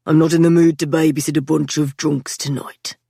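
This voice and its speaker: A woman's voice: sits low, flat delivery, a little fast, gravelly, dark tone, slightly rough, no room sound, clean studio sound, voice pushed down, heavily acted. A woman voicing a weary, protective young man.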